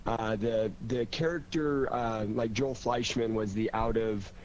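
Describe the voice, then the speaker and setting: A man's voice: on the low side, fairly even intonation, measured pace, dull audio. A man talking casually and enthusiastically in an interview as a writer of radio drama, in a rambling storytelling way. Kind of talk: casual conversational interview talk. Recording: noisy recording with background hum.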